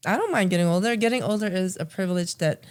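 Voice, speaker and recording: A woman's voice: fairly low pitch, fast talker, a little mellow, smooth. A woman in her thirties co-hosting a podcast and chatting casually with her husband. She is easygoing and teasing, and she laughs often. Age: 30s. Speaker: podcast co-host.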